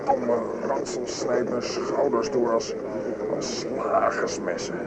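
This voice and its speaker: A man's voice: medium pitch, takes it slowly, creaky. An actor playing a French army man on the march in a radio drama. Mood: weary complaining. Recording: muffled, noisy old radio recording.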